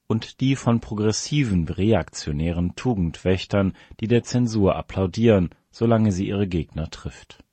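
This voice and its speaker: A man with a low voice reads a scripted political commentary. His tone is husky and the recording is dry, with no room around the words. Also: a little mellow, no room sound. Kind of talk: scripted political essay read aloud.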